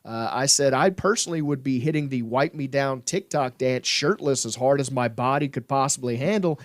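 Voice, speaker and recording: A man's voice: mid-range voice, normal speed, dry recording, clean studio sound. A man hosting a sports podcast, joking around playfully with lots of "uh" fillers. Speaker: podcast host. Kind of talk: casual sports podcast chat.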